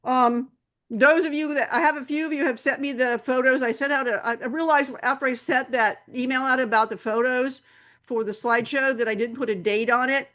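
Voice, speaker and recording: A woman's voice: sits high, steady tone, brisk, fairly bright tone. A woman hosting a camera club meeting, giving announcements in a rambling way with many fillers and restarts.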